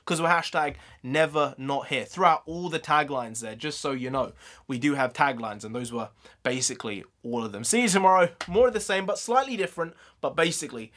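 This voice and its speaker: A man ranting casually in an opinion vlog, his voice going hoarse, with lively swings in his delivery. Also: a little high. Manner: chatty, ranting, animated sign-off. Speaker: YouTube vlogger.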